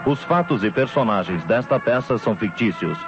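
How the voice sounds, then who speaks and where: A man's voice: on the low side, animated, normal speed. A man announcing a radio theatre programme in a formal, scripted style. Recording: dull vintage radio recording, loud music.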